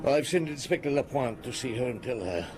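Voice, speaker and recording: An actor playing a shrewd, world-weary male chief inspector in a detective audio drama, measured and probing. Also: medium pitch, lively intonation, over music, lightly acted. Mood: calm, businesslike. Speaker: lead actor and narrator.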